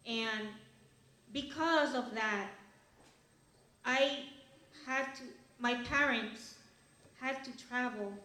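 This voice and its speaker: A young woman from Ecuador speaks earnestly at an awards event, pausing and restarting as she goes. Her voice sits a little high. It rings around an echoey hall. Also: a bit bright. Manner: earnest, halting, with fillers and restarts. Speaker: award recipient giving a speech. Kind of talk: personal acceptance speech.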